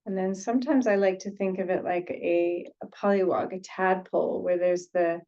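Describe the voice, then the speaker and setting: A woman guides a meditation in a lowish, soft-edged, mellow voice. She speaks very slowly and gently, pausing often and repeating phrases as she goes. The sound is a little dull and lo-fi. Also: steady tone. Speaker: meditation teacher.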